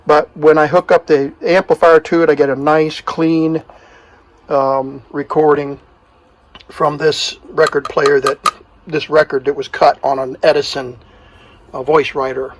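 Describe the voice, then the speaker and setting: A man's voice: a little high, level tone, a little slow, a little hiss or hum. A man casually demonstrating and explaining a repair, plainspoken and step by step, as a hobbyist host. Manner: plainspoken, talks through each step.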